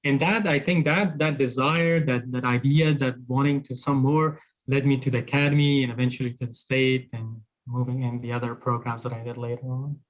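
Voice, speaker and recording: A man from Afghanistan in his thirties, speaking as a guest in a casual interview. He talks in a halting way, with frequent restarts and fillers. His voice sits at an ordinary middle pitch. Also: fairly even intonation, dull audio.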